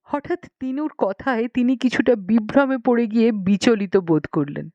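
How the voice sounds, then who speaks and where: A woman's voice: on the high side, normal speed, no room sound, dull audio. A woman narrating a story expressively, with a dramatic storytelling manner. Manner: dramatic storytelling with acted dialogue. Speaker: audiobook narrator.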